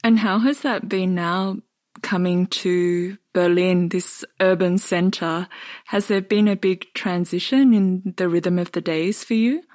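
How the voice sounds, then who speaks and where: A woman hosting a podcast, reading a calm introduction and asking measured interview questions. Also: fairly low pitch, dry recording, clean studio sound. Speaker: podcast host, dance artist.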